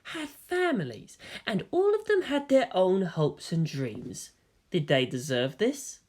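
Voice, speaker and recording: A hushed, cryptic young male hybrid, put on by a woman reading a story aloud. He speaks softly and evenly, with a faint mocking edge that never rises above a murmur. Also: on the low side, sing-song, exaggerated voice. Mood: reproachful. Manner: soft, measured, quietly mocking.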